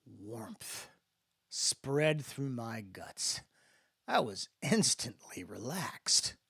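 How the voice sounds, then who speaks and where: A man's voice: medium pitch, fairly bright tone, slightly rough, no room sound, studio quality. A man giving a dramatic first-person narration of a story, as in an audiobook. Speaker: author-narrator reading fiction.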